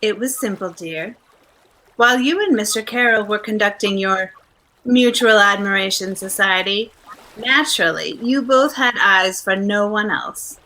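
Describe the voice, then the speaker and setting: An actress playing a poised, clever detective's wife, coolly wry and teasing, in 1940s radio drama style. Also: female voice, mid-range voice, a little slow, sharp tone, poor audio. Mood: coolly triumphant. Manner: coolly wry, matter-of-fact.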